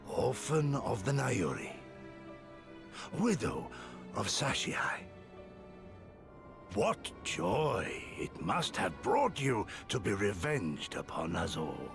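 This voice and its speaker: Grave, gravelly, slow-spoken samurai. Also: male voice, lowish voice, very animated, music in the background, heavily acted. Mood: bitter, grave. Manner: formal archaic diction, measured. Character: grave, proud samurai warrior man, noble and defiant. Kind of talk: video game cutscene acting.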